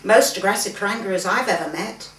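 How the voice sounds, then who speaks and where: A woman voicing a cheerful, excitable gentleman who loves animals, keen and inquisitive, in a story reading. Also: mid-range voice, fairly quick, lightly acted. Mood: intrigued. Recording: roomy, distant mic, faint background noise.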